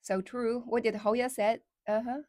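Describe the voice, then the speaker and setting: A woman's voice: a little high, level tone, unhurried, darkish tone, no echo, studio quality. A woman whose first language is Chinese, speaking English with an American Midwest accent as a livestream host, chatty and full of fillers like "like" and "somehow". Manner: chatty, many fillers like "like" and "somehow", laughs often. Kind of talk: casual livestream chat with viewers.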